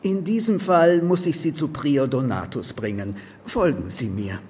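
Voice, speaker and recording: An actor playing a stiff, pious monastery brother in a radio crime drama. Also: male voice, a little high, a little slow, heavy background noise, close to an everyday voice. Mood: startled, formal. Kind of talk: radio play dialogue.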